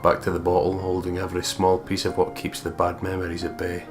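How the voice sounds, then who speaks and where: A man's voice: low voice, completely flat delivery, a little fast. A man doing a let's play, reading a visual novel's narration aloud and chatting casually about it. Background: game music.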